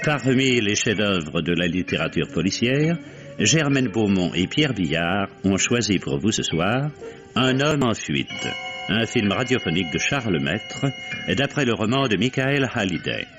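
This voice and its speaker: A man announces a radio drama formally, with lively, dramatic intonation. Loud music plays behind him on a dull vintage recording. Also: on the low side, a little slow.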